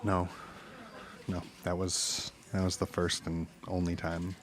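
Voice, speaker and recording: Shaken witness telling an eerie story. Deep, unhurried, halting, naturalistic audio-drama read. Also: male voice, monotone. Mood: haunted. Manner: halting, deliberate, uneasy. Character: haunted married truck driver recounting eerie encounters. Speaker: podcast guest, witness.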